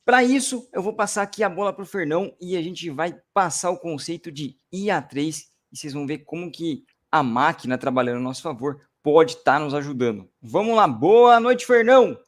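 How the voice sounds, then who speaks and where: Lively man hosting an online class. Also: fairly high pitch, moderate pace, hardly any pauses. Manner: energetic, addresses viewers directly. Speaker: course host. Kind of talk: upbeat webinar presenting.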